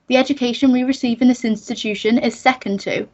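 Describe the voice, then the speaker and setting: Prim, high-pitched posh schoolgirl played by a woman. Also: fairly even intonation, fast, a little mellow, natural voice. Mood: prim, scolding. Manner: posh, fussy, gushing. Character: prim, scolding upper-class English boarding-school girl Cynthia. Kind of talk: comic melodrama radio play. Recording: dull lo-fi recording.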